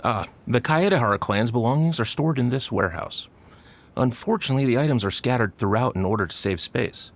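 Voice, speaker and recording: A man's voice: medium pitch, expressive, fairly quick, gravelly. A man playing a polite, deferential police warehouse attendant in a video game, eager to be of help. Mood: deferential, helpful. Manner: polite, apologetic, obliging.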